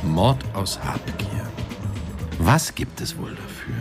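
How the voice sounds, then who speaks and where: Stern, deep-voiced police interrogator. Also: male voice, sing-song, full character voice. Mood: taunting. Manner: insistent, taunting, deliberate. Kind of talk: naturalistic German radio crime drama acting. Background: music behind the voice.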